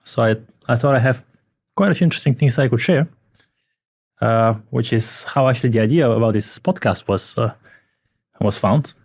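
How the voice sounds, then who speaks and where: A man in his forties, from Bulgaria, talks through his past in a relaxed and wry way on a podcast. His voice sits at a middle pitch. He is recorded close and dry, with no room sound. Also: moderate pace, pauses often, slightly rough, no echo. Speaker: podcast guest, advisor. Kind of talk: casual podcast chat.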